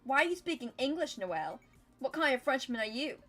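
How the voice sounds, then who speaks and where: A woman voicing a brash teenage girl prankster who mocks loudly and melodramatically. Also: on the high side, animated, moderate pace, soft background music, voice pushed up, close to an everyday voice. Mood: mocking. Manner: loud, mocking, melodramatic.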